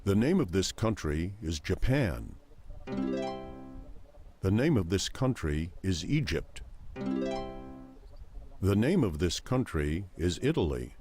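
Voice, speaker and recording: A man with an American accent reading short English lesson sentences with slow, clear enunciation. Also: deep voice, big pitch swings. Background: plucked string music.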